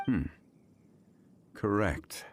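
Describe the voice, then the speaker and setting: A man voicing a composed, erudite gentleman in a video game, with a formal, deliberate, analytical manner. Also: background noise. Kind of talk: video game character dialogue. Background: game music.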